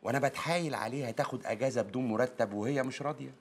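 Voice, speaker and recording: An actor with an Egyptian accent playing a complaining, old-fashioned husband. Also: male voice, mid-range voice, very fast, natural voice. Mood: grumbling. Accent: Egyptian Arabic. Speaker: Ali, the husband. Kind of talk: comedy film acting.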